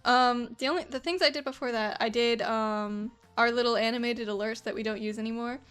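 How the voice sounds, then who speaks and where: A woman's voice: fairly high pitch, steady tone, a little fast, fairly bright tone, clean tone, soft background music. A woman streaming while she animates, chatting in an easygoing, giggly way and often trailing off.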